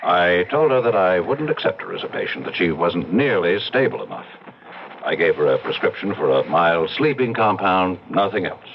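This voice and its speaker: A man's voice: low voice, very animated, normal speed. An actor playing a defensive, evasive male psychiatrist, formal and guarded, in an old-time radio drama. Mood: hesitant, confiding. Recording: dull, noisy old radio recording.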